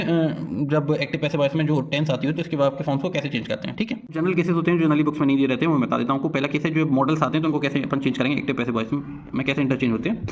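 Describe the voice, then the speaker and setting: Fast-talking man teaching. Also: a little high, a little hiss or hum. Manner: repeats check-in tags after points. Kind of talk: informal grammar lesson, mixing in English terms.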